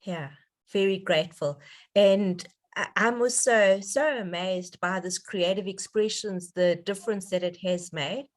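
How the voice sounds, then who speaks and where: A woman's voice: mid-range voice, a little slow. Woman hosting an online call. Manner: effusive, enthusiastic. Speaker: host of a donor conference call. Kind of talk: casual, appreciative hosting talk. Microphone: online conference call.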